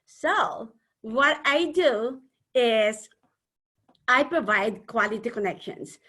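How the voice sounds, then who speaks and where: A woman from the Philippines with a Filipino accent, speaking earnestly as a webinar panel speaker and often pausing on fillers. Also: fairly high pitch, expressive, pushed and bright. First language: a Philippine language. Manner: earnest, hesitant with many fillers. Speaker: panel speaker, networking organizer.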